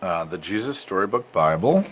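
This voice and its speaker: Older man reading a storybook, low voice. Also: animated, moderate pace. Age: 60s or older. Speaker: grandfather reading aloud to family. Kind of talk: expressive storybook read-aloud. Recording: noisy amateur home recording.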